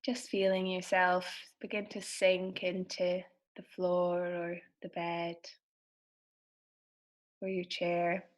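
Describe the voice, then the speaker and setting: Woman gently guiding a meditation. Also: fairly low pitch, monotone. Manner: soothing, gentle, unhurried instruction with pauses. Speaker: meditation guide. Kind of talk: guided meditation.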